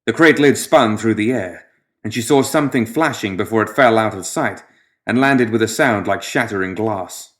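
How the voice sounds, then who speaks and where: A man with a somewhat low voice narrates a piece of fiction. His delivery is scripted and measured, with a sense of drama. He lets some phrases fall away into a quieter, hushed close, as an audiobook storyteller does.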